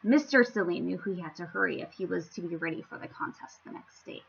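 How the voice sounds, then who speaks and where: A woman reading a storybook aloud to young children, with theatrical, playful emphasis and an expressive storytelling style. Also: on the low side, fairly quick, gravelly, breathy edge, lo-fi sound. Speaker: children's story-time reader.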